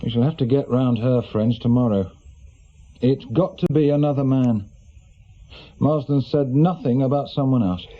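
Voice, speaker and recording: English male police chief actor, authoritative and brisk, medium-pitched, lightly lo-fi radio drama. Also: natural voice. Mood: sceptical. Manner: terse, authoritative, questioning. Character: brisk, sceptical senior police detective in command. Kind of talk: British radio drama acting.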